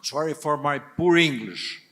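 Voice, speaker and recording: An older man with a Portuguese accent, a native Portuguese speaker, speaking as a guest on a stage panel in a halting, hesitant way with many restarts. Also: fairly high pitch, fairly bright tone. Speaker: business leader guest.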